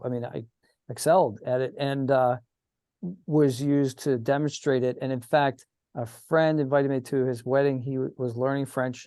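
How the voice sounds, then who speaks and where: Guy hosting a chill podcast chat, mid-pitched voice, super dry close sound. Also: darkish tone, dry recording. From Pittsburgh, Pennsylvania. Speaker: podcast host. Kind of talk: casual podcast conversation.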